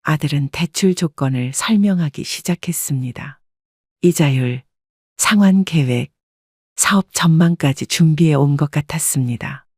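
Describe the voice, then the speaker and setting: Woman narrator, calm measured storytelling, breathy voice, dry close recording. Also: low-pitched, no echo. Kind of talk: first-person story narration.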